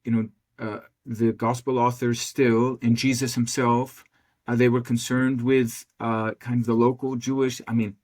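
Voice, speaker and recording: A man's mid-pitched voice, asking questions as an interviewer in conversation. He is tentative, hedges often and works out each question aloud as he goes. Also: little pitch movement, normal speed, darkish tone. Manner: tentative, hedging, thinking through questions aloud.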